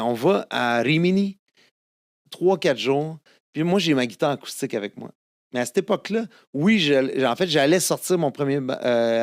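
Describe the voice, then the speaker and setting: A man's voice: mid-range voice, no room sound. Québécois guy in his 50s, italian first language, rambly storyteller w lots of euhs, dry sound. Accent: Québécois French. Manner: chatty, many fillers and restarts. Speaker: guest singer-songwriter. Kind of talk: casual storytelling interview.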